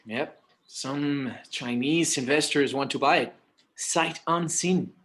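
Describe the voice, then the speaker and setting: A man's voice: a little high, takes it slowly, fairly bright tone. A man acting a casual, hesitant adult son in a conversational screenplay table read. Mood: hesitant, placating.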